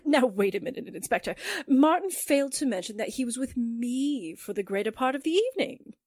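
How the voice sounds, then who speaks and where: A woman's voice: a little high, expressive, moderate pace, no echo, studio quality. An actress playing a widowed mother fiercely protective of her son, in a melodramatic, pleading 1940s-style radio drama performance. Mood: nervous, insistent.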